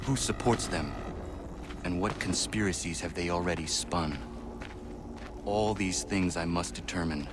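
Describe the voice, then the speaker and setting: An actor playing an earnest, righteous young half-Mohawk assassin in a video game, with formal, measured phrasing. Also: male voice, sits low, background noise. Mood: brooding, resolute. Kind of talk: dramatic video game acting.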